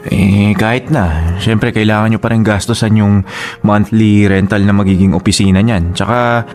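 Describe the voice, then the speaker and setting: A man's voice: fairly low pitch, steady tone, normal speed, darkish tone, soft background music, close to an everyday voice. A man playing a skeptical, condescending husband in a Filipino radio drama, talking in an offhand, doubting way. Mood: skeptical, dismissive.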